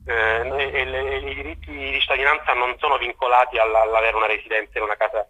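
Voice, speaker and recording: A man speaking as a journalist guest, analysing in a halting way, searching for words and restarting phrases, over a phone call to a radio show. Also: mid-range voice, level tone, bright, forceful tone. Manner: halting, searching for words with repeated false starts. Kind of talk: spoken political and historical analysis in an interview.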